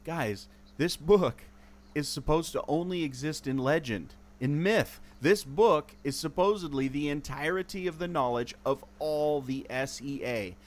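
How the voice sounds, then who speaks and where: A man's voice: on the high side, measured pace, close to an everyday voice. A man acting an earnest, knowledgeable explorer in a scripted audio adventure, with a theatrical, explanatory delivery. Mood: awed. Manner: expository, theatrical. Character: earnest male explorer, knowledgeable enthusiast of secret lore.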